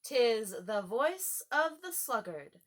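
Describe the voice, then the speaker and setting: A woman's voice: a little high, big pitch swings, slow pace, pitched above the performer's own voice, full character voice. A woman voicing an impatient, bossy male gryphon in a storybook, with brusque, hasty commands.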